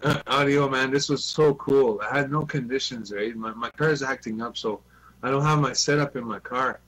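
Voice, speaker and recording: A man's voice: medium pitch, normal speed, a bit bright, slightly rough. A man chatting casually as a CB radio hobbyist, telling stories in a repetitive, sweary way on an online group call. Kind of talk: casual chat. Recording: noisy online voice chat with hum.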